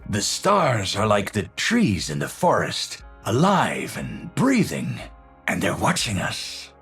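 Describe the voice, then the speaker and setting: An actor voicing a brilliant, tormented scientist in a video game, with a Dutch accent and a measured, authoritative manner that shifts between gentle and intense. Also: male voice, lowish voice, huge pitch swings, breathy edge, soft background music, exaggerated voice. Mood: awed, reflective. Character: Sigma, brilliant tormented scientist, kindly authoritative, unstable, given to bad jokes.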